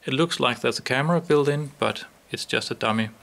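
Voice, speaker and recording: Gravelly man demonstrating a product. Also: medium pitch, brisk, a bit bright.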